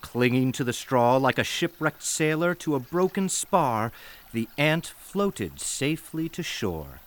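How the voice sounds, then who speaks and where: A man reading fables as scripted storybook narration in a measured, expressive way. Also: medium pitch. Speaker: fable reader.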